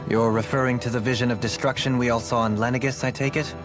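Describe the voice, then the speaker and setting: A man playing a composed, scholarly gentleman companion in a fantasy video game, formal and articulate. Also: mid-range voice, fairly even intonation, fast, music in the background, close to an everyday voice. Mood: analytical, grave. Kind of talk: scripted video game cutscene acting.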